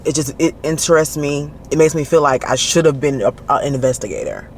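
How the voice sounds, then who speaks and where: A man with a Southern Alabama accent vlogging casually from his car, animated and laughing often as he talks to a handheld camera. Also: fairly high pitch, brisk. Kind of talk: casual driving vlog. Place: in a car, driving. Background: faint car and road noise.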